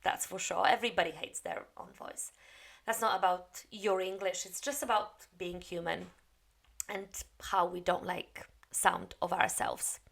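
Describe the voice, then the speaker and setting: A woman's voice: fairly low pitch, fairly even intonation, measured pace, vocal fry, fairly bright tone. A woman, an English coach giving a direct, encouraging spoken lesson. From Poland. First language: Polish. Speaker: English coach and TEFL teacher.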